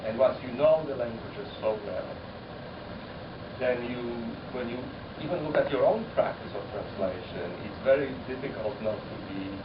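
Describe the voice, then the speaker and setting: A man whose first language is Polish, speaking as a translator at a panel discussion, thoughtful and halting, often rephrasing as he goes. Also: medium pitch. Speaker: poet-translator. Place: university common room. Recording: echoey room, distant mic, muffled, noisy.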